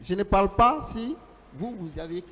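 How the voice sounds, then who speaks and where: A man speaking as a lawyer in a formal hearing, reading testimony aloud and putting questions in a deliberate, declamatory way with pauses between short phrases. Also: high voice, lively intonation, sharp tone. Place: large courtroom. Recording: reverberant large courtroom, long echo.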